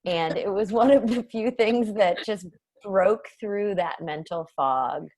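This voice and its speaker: A woman's voice: medium pitch, slow, darkish tone. A woman from Massachusetts, an author, talking casually and reflectively on a video call. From Massachusetts, United States. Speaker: author and guest speaker.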